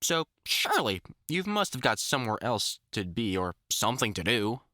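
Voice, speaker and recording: A man's voice: medium pitch, big pitch swings, normal speed, bright, forceful tone, no room sound. A man playing an older, experienced vampire hunter in an audio roleplay, talking informally and reassuringly like a mentor, with dry jokes and mild swearing. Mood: casual, caring. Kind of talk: intimate one-sided audio roleplay, conversational acting.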